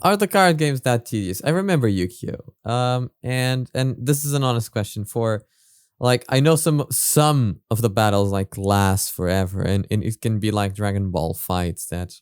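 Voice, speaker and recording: A man's voice: on the low side, moderate pace, clear, resonant tone, dry recording. A man hosting a reaction video, talking casually and analytically, thinking aloud with hesitant, repeated phrases.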